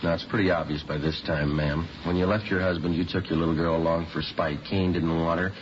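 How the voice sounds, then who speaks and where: An actor playing a stern, matter-of-fact police detective in a vintage radio crime drama, clipped, deadpan and persistent. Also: male voice, sits low, fairly quick, noisy background, lightly acted. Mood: accusing. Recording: dull vintage radio recording with music.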